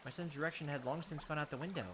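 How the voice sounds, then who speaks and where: Brief: quick-talking man reading a visual novel and joking about it. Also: a little high, background noise. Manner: animated, wry asides between narration. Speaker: let's play host. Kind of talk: reading game text aloud and commenting. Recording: game audio with loud cricket ambience.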